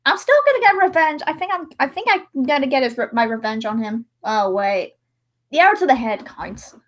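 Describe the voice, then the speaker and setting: A woman's voice: high voice, sing-song, fairly quick. A woman chatting casually as a livestream host while editing, rambling and theatrical. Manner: rambling, theatrical, with sudden mock-dramatic outbursts. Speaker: livestream host and amateur voice-over editor.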